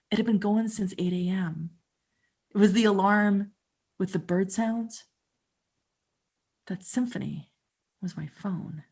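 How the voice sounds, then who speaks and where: A woman in her fifties from Canada, performing a conversational first-person monologue with dramatic pauses. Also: mid-range voice, gravelly, darkish tone, slightly rough. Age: 50s. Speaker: storyteller and musician.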